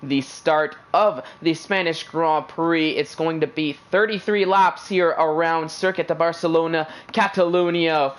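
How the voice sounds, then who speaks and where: A man's voice: a little high, steady tone, unhurried, hardly any pauses. Casting: upbeat male play-by-play voice for sim racing streams, loose and chatty. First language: English. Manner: chatty, filler-heavy, upbeat. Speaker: esports racing commentator. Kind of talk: live race commentary.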